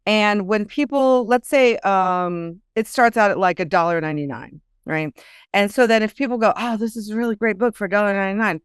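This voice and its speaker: Female author guest, smooth clear voice, animated conversational storytelling, dry close sound. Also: medium pitch, a little fast, dry recording. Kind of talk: casual interview chat.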